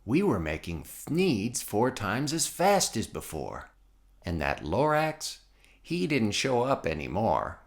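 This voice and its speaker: Man, children's read-aloud narration, very lively intonation, slow deliberate pace, dramatic rhymes. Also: fairly low pitch. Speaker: storybook narrator.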